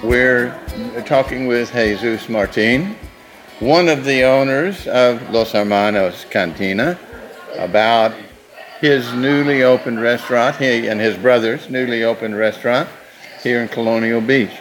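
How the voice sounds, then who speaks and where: A man hosting a radio interview on location in a restaurant, asking questions in a relaxed, chatty, joking way. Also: mid-range voice, measured pace, over music, low-quality recording. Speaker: radio host.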